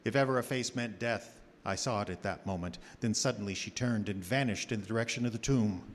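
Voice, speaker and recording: An actor playing a somber, haunted, skeptical doctor, speaking deliberately in a gothic radio drama. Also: male voice, lowish voice, close to an everyday voice. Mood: horrified, somber. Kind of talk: gothic radio drama acting and diary narration. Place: live theater stage. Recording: reverberant theater hall with noise.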